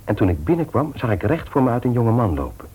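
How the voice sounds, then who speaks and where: A man's voice: medium pitch, sing-song, quick pace, warm tone, slightly rough. A male radio play actor playing a conscientious family man, speaking naturally, hesitantly and earnestly. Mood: casual, confiding. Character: conscientious, troubled family man and office worker, jovial host. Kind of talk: naturalistic Dutch radio drama acting.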